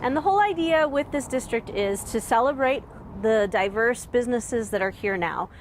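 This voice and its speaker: A woman's voice: on the high side, expressive, moderate pace. A woman interviewed on a city street, explaining a community project in an upbeat way. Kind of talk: news interview soundbite. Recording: street noise behind.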